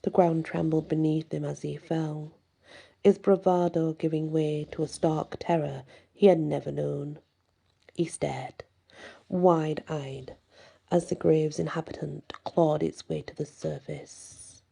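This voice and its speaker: A woman reading a scripted horror story in ASMR style, with a measured, hushed, dramatic delivery. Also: low voice, fairly even intonation, vocal fry, slightly rough. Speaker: storyteller, channel host.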